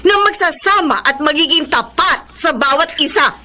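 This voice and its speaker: High, animated, disapproving Filipina mother. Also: normal speed. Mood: stern, preaching. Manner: emphatic, moralizing. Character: devout disapproving middle-aged Filipina mother, morally firm and pleading. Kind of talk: Filipino radio drama acting. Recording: faint background noise.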